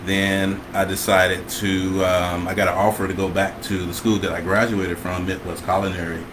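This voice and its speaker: Deep-voiced middle-aged man. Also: normal speed. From Michigan, USA. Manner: relaxed, hesitant with many fillers. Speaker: guest, chef. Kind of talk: casual conversation on a livestream. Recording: noisy home recording.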